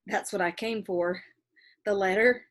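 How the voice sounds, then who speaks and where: A woman's voice: mid-range voice, fairly even intonation, measured pace, natural voice. A woman voicing a polite fifth-grade schoolboy, reserved but a little cheeky, with plain short replies in a storybook reading. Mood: hesitant, curious.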